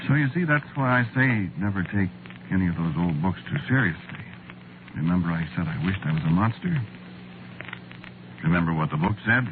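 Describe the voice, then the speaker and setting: An actor playing a weary, meek, haunted man who confesses in a halting, deliberate way, in the style of 1940s radio drama narration. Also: low voice, expressive, background noise. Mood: ominous, quiet. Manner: hushed, deliberate, halting, conversational. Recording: muffled vintage radio recording, music behind.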